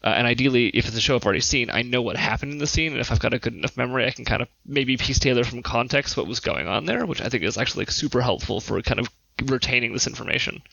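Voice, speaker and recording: A man speaks quickly in a bright voice with some fry, chatting as a podcast panelist. The recording is dry and a little dull. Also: mid-range voice, no echo. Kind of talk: casual explanatory chat.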